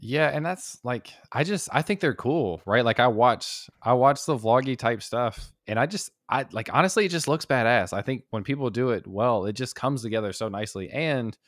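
A man's voice: mid-range voice, lively intonation, normal speed, few pauses, a bit bright, no echo, clean studio sound. A man appearing as a podcast guest, chatting enthusiastically and saying "like" a lot. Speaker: guest, developer and YouTuber. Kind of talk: casual podcast conversation.

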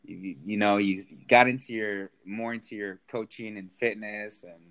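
Young male comic guest from Sacramento, lowish voice, loose and self-deprecating, quick to laugh. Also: steady tone, a bit bright. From Sacramento, United States. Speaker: guest, stand-up comedian. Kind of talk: casual podcast chat.

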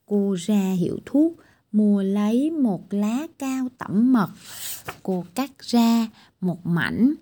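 A woman narrating a children's fairy tale, reading slowly and clearly. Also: medium pitch, animated, warm tone. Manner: slow, clear storytelling. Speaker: storyteller.

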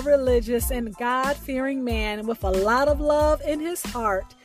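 A woman hosting a storytelling show, reading a scripted biographical introduction of a featured guest. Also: high voice. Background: music.

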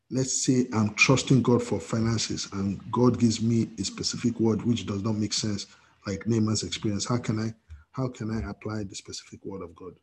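A man's voice: lowish voice, a little mellow. A male pastor leading an interactive sermon over a video call, talking with many repeated restarts and emphatic rising bursts.

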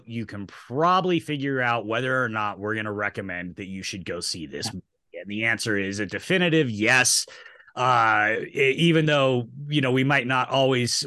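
A male podcast host with a bright, forceful voice who runs the intros briskly and in an orderly way. The sound is close and dry. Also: medium pitch, no room sound. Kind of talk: casual podcast chat.

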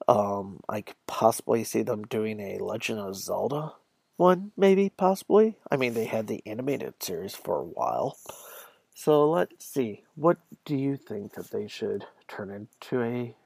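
A man's voice: medium pitch, creaky, darkish tone, breathy edge, no echo. A man hosting a solo podcast, talking casually and conversationally straight to his listeners. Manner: conversational, addresses listeners directly. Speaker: podcast host.

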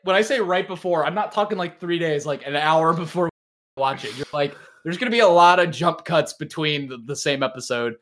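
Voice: mid-range voice, a little fast, a bit bright. A podcast host with a mid-range voice talks in a loose, casual way about anime and games. The delivery is opinionated and joking, with plenty of casual swearing.

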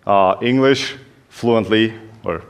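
A young man from Hungary speaks with a Hungarian accent, pitched a little low. He tells his story to a church crowd in a loose, joking way, pausing on fillers and restarting lines. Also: clean tone. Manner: chatty, self-deprecating, many fillers. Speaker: youth pastor. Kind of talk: church talk, personal story.

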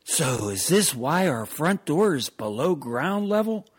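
Grown guy doing a nosy doubtful kid, whiny, slow, swoopy husky voice, radio comedy skit. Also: on the high side, very animated, exaggerated voice. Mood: puzzled, protesting. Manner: questioning, whiny protest. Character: inquisitive, doubtful young son pestering his dad. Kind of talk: scripted comedy radio sketch.

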